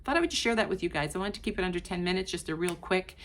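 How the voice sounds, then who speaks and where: A woman's voice: fairly low pitch, expressive, racing, a little hiss or hum. A woman whose first language is Portuguese, giving casual advice to her viewers from her home in a chatty way. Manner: chatty, addressing viewers directly. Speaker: vlogger. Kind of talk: casual talk to camera.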